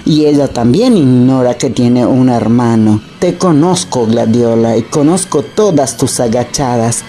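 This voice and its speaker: An Argentine actress playing a spiteful, gloating, scheming twin sister in a radio comedy melodrama, sneering and taunting theatrically. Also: female voice, extremely low pitch, dark tone.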